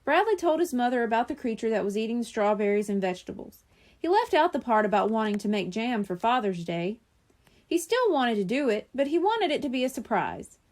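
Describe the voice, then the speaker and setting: Woman narrating a kids chapter book, high voice, very lively intonation. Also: fairly quick. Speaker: audiobook reader. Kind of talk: expressive children's story narration.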